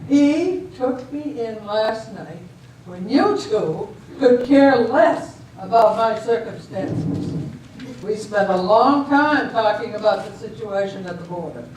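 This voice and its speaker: A woman in an amateur stage reading, playing a composed, decisive government official with a measured, formal delivery. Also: fairly high pitch, animated, a little mellow. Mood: matter-of-fact. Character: composed, decisive female official judging a border dispute. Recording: echoey hall, distant mic, faint noise.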